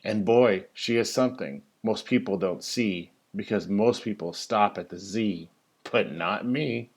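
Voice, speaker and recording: A man's voice: lowish voice, a little slow, darkish tone, slightly rough. Man reading a rhyming storybook expressively. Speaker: teacher reading a children's story. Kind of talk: animated storybook read-aloud of rhyming verse.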